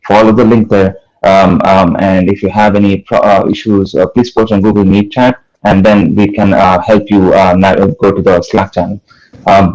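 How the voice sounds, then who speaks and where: A man gives announcements as organizer of an online event, in a somewhat low voice. He talks haltingly and keeps stopping to say uh and um. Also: a little mellow, poor audio. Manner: halting, many uh and um.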